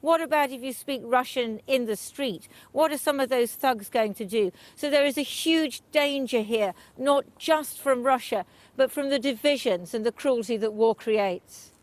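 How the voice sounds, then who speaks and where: A woman, a foreign correspondent giving an earnest, measured news report. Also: high voice, dry recording.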